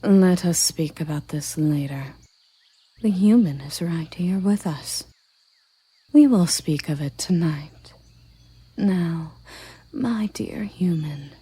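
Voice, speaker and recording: A woman voicing a regal, tender and possessive elven queen in a breathy ASMR roleplay whisper, with soft, commanding endearments. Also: deep voice, slow, exaggerated voice. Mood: quietly commanding.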